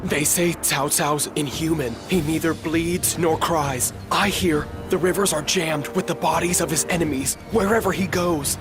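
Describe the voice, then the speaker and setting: A nervous soldier, voiced by a male actor, speaks in a raised pitch, full of dread. It is dramatic game acting with loud music behind it. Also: fairly even intonation, moderate pace, few pauses, lightly acted. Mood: fearful. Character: frightened common soldier spreading dread.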